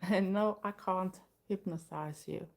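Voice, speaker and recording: A Swedish woman, a native Swedish speaker and older goth streamer, chatting casually in English with a Swedish accent, pausing often while she waits for her live chat. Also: lowish voice, gravelly. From Sweden. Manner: relaxed, chatty, pauses often to read the chat.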